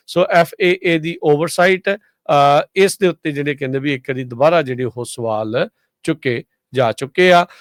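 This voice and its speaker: A man with a Punjabi accent presenting a radio news report in a conversational, halting way with frequent hesitations. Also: fairly high pitch, clear, resonant tone, no echo, clean studio sound.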